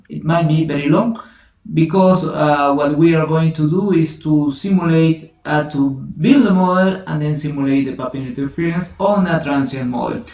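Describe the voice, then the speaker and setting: A man whose first language is Spanish, teaching a software tutorial in a halting way, thinking aloud with many restarts and self-corrections. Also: on the high side, vocal fry. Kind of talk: screen-recorded software tutorial. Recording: roomy, distant, dull lo-fi home recording.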